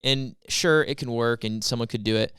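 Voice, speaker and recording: Male podcast co-host, mid pitch, resonant clear voice, dry booth sound, easygoing chatty manner. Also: normal speed, fairly bright tone, no room sound. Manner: easygoing, agreeable, frequent "yeah" and "like". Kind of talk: casual chat.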